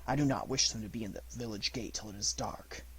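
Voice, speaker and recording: A man's voice: fairly low pitch, fast talker, a little hiss or hum, close to an everyday voice, poor audio. A man voicing a bold boy raised by wolves, giving terse, archaic commands in a storybook. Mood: quietly commanding.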